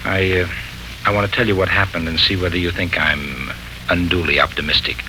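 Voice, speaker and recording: An actor playing a hearty but anxious suitor in a 1940s radio serial, speaking in an earnest, florid, hesitant way. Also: male voice, low voice, fairly even intonation, a little fast, lightly acted. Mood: anxious, hopeful. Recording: noisy, bright 1940s radio recording.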